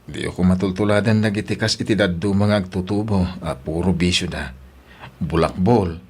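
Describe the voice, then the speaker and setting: A man's voice: low-pitched, slightly rough, pitched below the performer's own voice. A man voicing a stern, frugal older father, admonishing his children in an Ilocano radio drama. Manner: admonishing, proverb-quoting.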